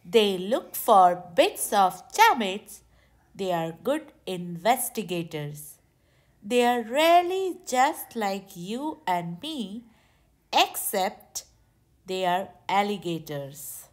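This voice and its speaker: Woman reading a picture book, slow and lively. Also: medium pitch. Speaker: children's storybook reader. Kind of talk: read-aloud picture book narration.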